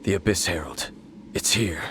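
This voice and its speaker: An actor playing a stern, brooding, mysterious warrior in a video game, speaking in a grave, measured, solemn way. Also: male voice, sits low, a bit bright, a little hiss or hum. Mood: grim, resolute. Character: brooding, stern mysterious male warrior, former royal guard. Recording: music behind the voice.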